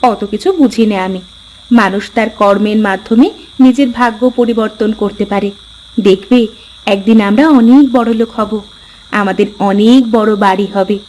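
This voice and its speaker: A woman's voice: medium pitch, steady tone, normal speed, darkish tone, a little hiss or hum, natural voice, low-quality recording. A woman voicing a kind, hopeful young village wife in a cartoon fairy tale, sweet and earnest. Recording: music behind the voice. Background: clear background music.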